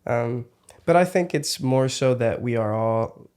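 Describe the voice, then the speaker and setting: A man's voice: medium pitch, measured pace, darkish tone, clear, resonant tone. A man with a mid-range voice hosts a podcast in casual conversation. He muses in an easygoing, thoughtful way and often drops in murmurs of agreement. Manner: reflective, easygoing, frequent backchannel murmurs. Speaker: podcast host.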